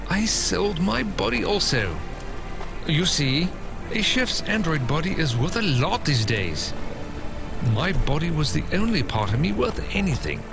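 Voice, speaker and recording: An actor playing a naive, boastful android with a pompous, snobbish manner in a video game. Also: male voice, fairly high pitch, sing-song, a little slow, gravelly, over music, exaggerated voice. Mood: airy, self-assured. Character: naive, boastful android head dreaming of wealth.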